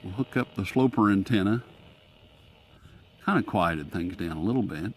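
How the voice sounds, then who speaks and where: Low-voiced man giving a casual demo. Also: animated, normal speed. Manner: folksy, informal, thinking aloud. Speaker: radio reviewer and host. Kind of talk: casual hands-on gadget demonstration. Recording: clear static and radio noise behind.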